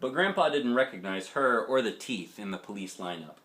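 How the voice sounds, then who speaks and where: A man's voice: fairly low pitch, expressive, moderate pace, fairly bright tone. A man reading a children's story aloud as a dad would, expressively, with chatty asides to young listeners. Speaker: father reading a picture book aloud. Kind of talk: storybook narration with casual asides.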